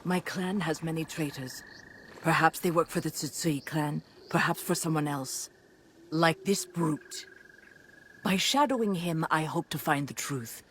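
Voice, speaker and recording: A stern, low-voiced noble lady. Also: fairly bright tone, a little hiss or hum. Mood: stern, composed. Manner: imperious, measured, formal. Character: stern, commanding noble lady of a samurai clan. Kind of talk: video game cutscene acting.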